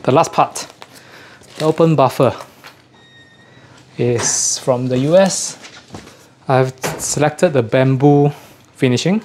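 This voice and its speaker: A man giving a casual unboxing chat as a host, often pausing to rephrase himself. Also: mid-range voice, a little mellow, slight background noise. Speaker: host of an audio gear channel.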